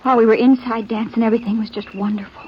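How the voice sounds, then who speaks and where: Woman playing a high-voiced, timid, lovelorn country girl. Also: fairly even intonation, lightly acted. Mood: hurt, downcast. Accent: American. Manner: halting, timid, plaintive. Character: shy, lovelorn, earnest young country girl. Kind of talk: 1950s Western radio drama acting. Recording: dull lo-fi vintage radio, background noise.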